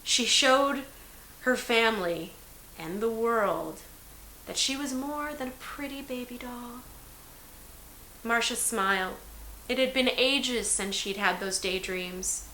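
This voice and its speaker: A woman's voice: fairly high pitch, slow, pauses often, sharp tone. A woman giving a dramatic scripted reading of narrative prose. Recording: roomy echo, hiss in background.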